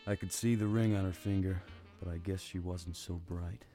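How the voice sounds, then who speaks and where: World-weary private eye, played by a man, deep, mellow, dry and brooding, noir radio drama, music behind. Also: fairly even intonation, moderate pace, natural voice. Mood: wry. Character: world-weary hardboiled male private detective. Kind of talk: noir radio play narration, first person.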